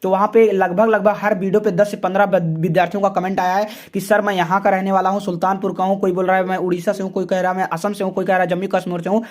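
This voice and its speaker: A man's voice: high voice, little pitch movement, fast, few pauses. A man teaching a class, working through a science model exam paper with students in a chatty, repetitive way. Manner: chatty, calls students "friends", repeats words often. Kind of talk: exam paper walkthrough lesson.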